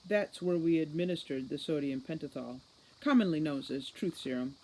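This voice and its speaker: A man's voice: on the high side, moderate pace, darkish tone, a little hiss or hum, close to an everyday voice, rough recording. A man voicing a smug, cold, precocious boy criminal mastermind in an audiobook, with formal, sardonic condescension. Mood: condescending calm. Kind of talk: audiobook character reading.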